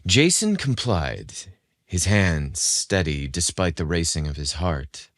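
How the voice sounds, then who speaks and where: Male narrator for romance audio fiction, notably low register, dry sound. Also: sing-song, takes it slowly, no room sound. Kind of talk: scripted romance story narration.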